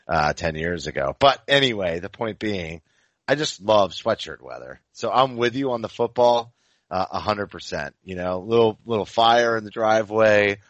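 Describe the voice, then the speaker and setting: An American man in his forties with a low-pitched voice talks as a sports podcast co-host. He is enthusiastic and chummy, with plenty of casual slang. The recording is close and dry, with no room sound. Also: lively intonation, normal speed, no room sound, muffled recording. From Maine, United States.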